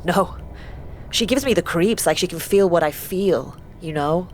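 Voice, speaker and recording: A woman's voice: lowish voice, expressive, unhurried, a little mellow, slight background noise. A woman playing a frank, worried villager in a fantasy audio drama, talking in an uneasy conversational way.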